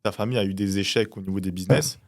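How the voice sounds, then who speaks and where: A man hosting an interview, asking brief, low-key questions and reacting to his guest. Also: fairly even intonation, rapid-fire. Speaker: interviewer host.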